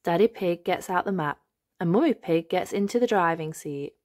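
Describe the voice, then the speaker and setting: A woman narrating a read-aloud story for young children, expressive and carefully enunciated. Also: lowish voice, moderate pace, no room sound, clean studio sound. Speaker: host and storybook reader.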